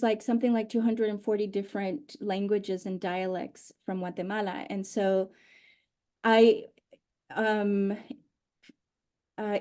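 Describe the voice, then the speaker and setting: Guest, female, native Spanish speaker from Honduras, warm mellow tone, reflective with fillers. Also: mid-range voice, smooth. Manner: thoughtful, many fillers and restarts. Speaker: oral historian guest. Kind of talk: conversational interview answer.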